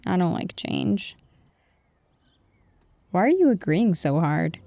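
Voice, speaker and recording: A woman acts out a bickering but affectionate girlfriend in an intimate audio drama. Her voice is mid-pitched and mellow, with slow, conversational delivery and a sarcastic, defensive edge. The recording is very dry and close. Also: sing-song, no echo, full character voice. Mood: nervous, candid, then teasing, annoyed. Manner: conversational, exasperated, sarcastic, addressing an unheard partner. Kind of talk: intimate audio roleplay acting.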